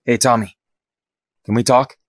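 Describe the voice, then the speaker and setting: Earnest young fighter played by a man, medium pitch. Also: fairly even intonation, a little slow, no room sound, studio quality, lightly acted. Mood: remorseful. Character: sincere, remorseful young male team fighter. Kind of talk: audio drama acting.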